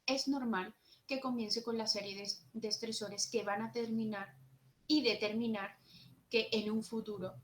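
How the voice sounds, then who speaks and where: A woman's voice: on the high side, steady tone, a little slow, slight background noise. A young woman with a Jerez Andalusian accent giving an earnest, explanatory conference talk at a social work seminar, partly read from notes. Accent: Andalusian Spanish, from Jerez.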